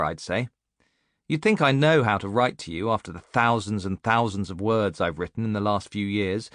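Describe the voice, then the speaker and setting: A man's voice: medium pitch, a bit bright, no echo. Male narrator as self-mocking woman, late thirties, musing letter-writer, wry, confiding, literary audiobook, close dry studio. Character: reflective, self-mocking single Englishwoman in her late thirties.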